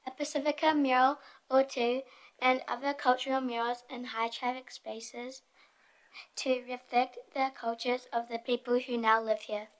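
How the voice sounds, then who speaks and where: A woman's voice: sits high, flat delivery, moderate pace. A young woman reading her part of a prepared presentation as a youth speaker. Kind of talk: prepared group presentation, read aloud.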